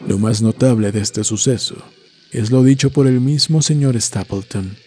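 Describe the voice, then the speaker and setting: Mellow-voiced man narrating a horror story. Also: medium pitch, moderate pace. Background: music.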